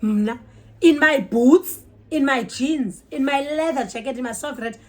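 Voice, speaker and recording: A woman's voice: high-pitched, a little slow. A woman with a South African Xhosa accent telling a story casually and expressively, switching languages mid-sentence. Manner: animated, code-switches mid-sentence, exclaims and acts out dialogue. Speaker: storytime vlogger.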